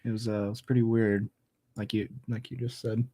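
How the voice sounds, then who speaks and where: Low, laid-back man chatting about movies. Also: little pitch movement, brisk, dark tone. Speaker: film review panelist. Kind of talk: casual chat.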